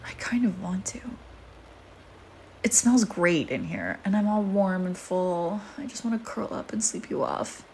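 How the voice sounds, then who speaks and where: A woman plays a darkly playful vampire in an intimate audio roleplay. She talks to the listener in a sardonic, flirty way at a medium pitch, like a casual predator teasing her prey. There is noticeable background noise behind her. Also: sing-song, a little slow, darkish tone. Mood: fond, teasing. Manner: sardonic, teasing, casual murmuring with sighs and whispered asides.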